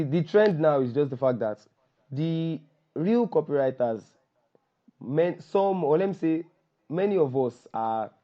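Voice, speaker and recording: A Nigerian man with a voice hoarse from shouting, hosting an informal group call in a joking, self-deprecating, repetitive way. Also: mid-range voice. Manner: joking, self-deprecating, repeats himself for emphasis. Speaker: workshop host and copywriter. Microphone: online call microphone. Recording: dull, lo-fi group call audio.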